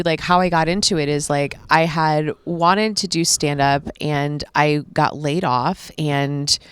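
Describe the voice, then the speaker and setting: Woman, late thirties, low smooth voice, dry close sound, candid rambling chat. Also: no echo. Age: 30s. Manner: candid, rambling, self-reflective. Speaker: podcast guest, stand-up comic. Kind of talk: casual conversation.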